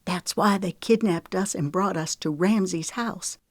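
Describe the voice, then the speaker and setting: A frightened young woman, tearful and hushed, her words trembling, as a woman reading an audiobook puts her on. The voice sits a touch higher than the narration, close and dry. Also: unhurried, no room sound. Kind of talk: audiobook character voice.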